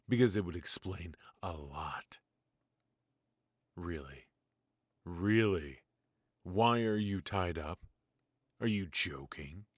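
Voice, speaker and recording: A man playing a weary, ruthless yet fatherly mafia boss in an intimate ASMR roleplay, with a low, husky, breathy voice and a wry, sighing delivery. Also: a little mellow, dry recording, clean studio sound, full character voice. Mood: incredulous, irritated. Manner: slow, pausing, sighing, wry.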